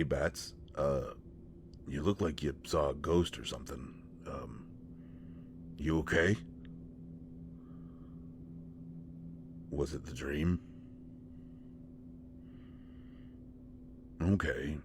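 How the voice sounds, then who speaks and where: A man playing a gentle, awkward, devoted companion in an intimate one-sided roleplay audio drama, speaking in a halting, stammering way. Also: a bass voice, slight background noise. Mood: concerned.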